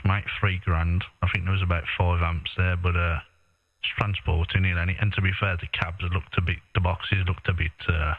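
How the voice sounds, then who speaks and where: A British man chatting casually in a matey, colloquial way as an amateur radio operator. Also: low voice. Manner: matey, colloquial, tag questions. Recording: echoey, narrow-band ham radio transmission.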